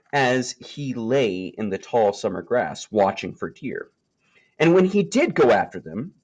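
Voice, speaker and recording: A man reading a children's nature story aloud, with expressive, emphatic phrasing and dramatic pauses. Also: medium pitch, low-quality recording.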